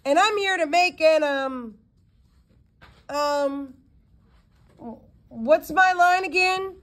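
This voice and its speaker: A woman's voice: sits high, very slow, a bit bright, voice pushed up, full character voice. A woman voicing a streetwise boy spy from a storybook, playful and a little boastful about his city. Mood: mock-heroic.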